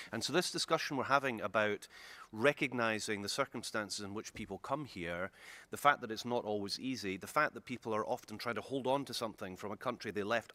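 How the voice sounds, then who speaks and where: A man's voice: on the low side, bright, forceful tone, no echo. A man whose first language is German, speaking personally and with heartfelt restarts on a conference panel. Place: conference hall.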